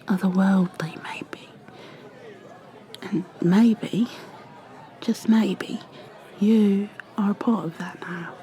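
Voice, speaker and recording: A chatty, kindly female tavern keeper, played by a woman in an ASMR roleplay rambles gently, often dropping into a whisper. She talks slowly in a mellow tone. A faint crowd murmurs in the background. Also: medium pitch, natural voice, low-quality recording. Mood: reassuring. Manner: rambling and gentle, many fillers, drifting into whispers.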